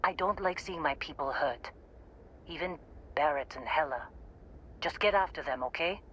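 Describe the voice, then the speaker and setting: An actress playing a gruff, dependable female outpost supervisor in a video game, speaking in a dry, blunt, no-nonsense way. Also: low voice, normal speed, a bit bright, highs cut off, slight background noise. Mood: gruff, sincere. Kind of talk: naturalistic video game voice acting.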